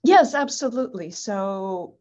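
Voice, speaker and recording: A woman's voice: medium pitch, lively intonation, measured pace, darkish tone. A woman whose first language is Portuguese, earnestly answering interview questions over a video call and often repeating words as she finds her phrasing. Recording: muffled video-call audio.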